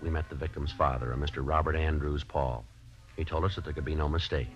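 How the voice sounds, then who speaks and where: A man playing a terse American detective sergeant in a 1950s radio drama, clipped and deadpan in both narration and dialogue. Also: extremely low pitch, fast, soft background music. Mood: businesslike, flat. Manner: clipped, matter-of-fact, deadpan. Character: terse, businesslike male police detective sergeant. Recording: dull, noisy old radio recording.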